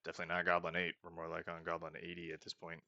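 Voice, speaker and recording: A man's voice: deep voice, completely flat delivery, racing, pushed and bright, highs cut off, slight background noise. A man casually commenting while he plays a video game, thinking aloud and hedging often. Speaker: let's play host.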